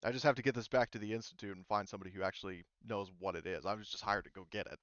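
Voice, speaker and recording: A man's voice: fairly low pitch, steady tone, racing, fairly bright tone, dry recording, lo-fi sound, lightly acted. A man playing a casual female hired courier in a tabletop game, explaining alien lore in a slangy, earnest way.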